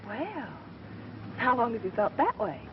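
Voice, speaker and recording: Wisecracking, lively 1930s career woman. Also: a little high, measured pace. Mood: teasing, curious. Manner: wry, snappy, teasing. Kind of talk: 1930s screwball film acting. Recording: dull, noisy vintage film soundtrack.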